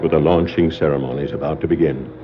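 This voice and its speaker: A man narrating a scripted superhero drama in 1960s radio style, suspenseful, with deliberate dramatic pauses. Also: a bass voice, fairly even intonation, background noise. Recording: dull 1960s vinyl record sound.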